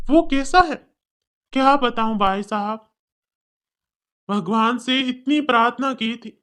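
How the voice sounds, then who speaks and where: Humble, sorrowful older father for cartoon drama, played by a woman, pitched below narrator but still highish. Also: a bit bright. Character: older fathers, humble, regretful, gently moralizing men.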